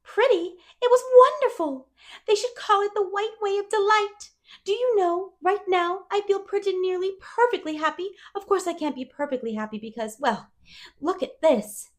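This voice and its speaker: A woman's voice: very high-pitched, normal speed, pitched above the performer's own voice. A woman voicing a talkative, dreamy eleven-year-old orphan girl with effusive wonder and dramatic emphasis, in a storybook reading. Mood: enraptured.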